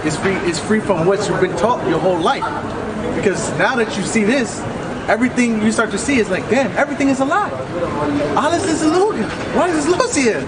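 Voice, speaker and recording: A man's voice: sits high, moderate pace. A man preaching outdoors, emphatic and full of rhetorical questions. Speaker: street preacher. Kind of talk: street preaching in English. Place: outdoors on a busy city street. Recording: loud street noise and crowd.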